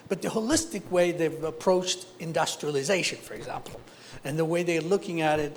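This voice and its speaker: A man from Ethiopia answers a panel question, his voice sitting a little high. He talks like an investor, grounding each point in concrete examples. Faint background noise runs under the recording. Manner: practical, explains with concrete examples.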